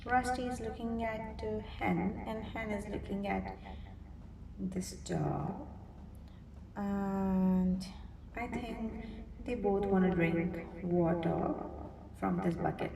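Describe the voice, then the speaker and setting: A woman reading a picture book aloud to young children, expressively, pausing to think aloud and ask them simple questions. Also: medium pitch, steady tone. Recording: roomy, distant mic, clear background noise.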